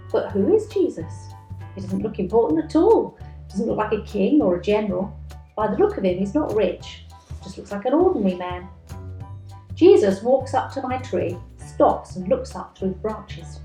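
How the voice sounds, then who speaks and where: A woman's voice: medium pitch, dark tone, reverberant, natural voice, poor audio. A woman voicing a chatty, nosy storybook magpie, a reformed thief who confides in the listener expressively.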